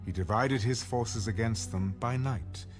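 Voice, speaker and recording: A man's voice: fairly low pitch, measured pace. A man reading scripture as a scripted, dramatized audio Bible narration. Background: background music.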